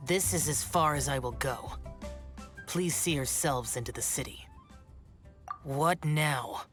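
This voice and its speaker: A man's voice: on the high side, takes it slowly, a bit bright, soft background music. A man playing an aloof, brooding young immortal guardian warrior in a video game, speaking in a solemn, formal and disdainful manner. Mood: reluctant.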